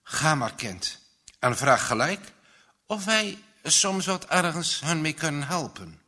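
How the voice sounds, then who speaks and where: A man's voice: on the high side, sing-song, slow, pushed and bright, full character voice. A man reading a storybook as a startled, concerned mother speaking earnestly.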